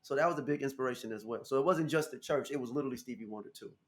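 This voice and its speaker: An American man in his thirties, a guest musician telling stories in a casual interview, animated and laughing often. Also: very fast. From United States. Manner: animated, laughing, jumps into high voice for quotes.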